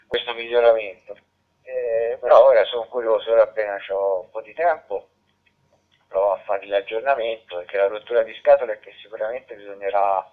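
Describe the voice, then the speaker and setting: Male radio amateur, casual rambling delivery with repeated words, heavily band-limited two-way radio sound. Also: on the low side. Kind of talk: casual radio contact chat. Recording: muffled narrowband digital two-way radio.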